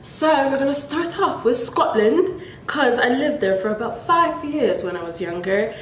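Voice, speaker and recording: A woman's voice: fairly high pitch, expressive, normal speed, slight background noise. A young woman from Nigeria chatting playfully to her viewers in a casual vlog, with laughs between remarks. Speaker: vlogger. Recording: echoey room.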